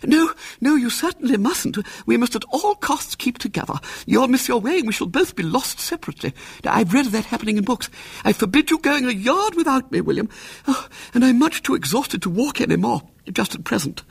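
A man voicing a prim, anxious elderly aunt in a breathless, fluttery falsetto. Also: sing-song, brisk, creaky, breathy edge, no room sound, exaggerated voice. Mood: fretful.

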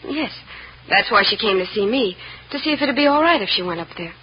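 A woman acting as a gentle, concerned American woman speaks carefully and anxiously at a middling pitch. It is mid-century radio drama, muffled and slightly noisy. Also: expressive, brisk, natural voice. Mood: sympathetic. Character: Claudia, concerned, gentle sister confiding bad news. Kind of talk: 1950s radio serial acting. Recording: muffled old radio recording with noise.

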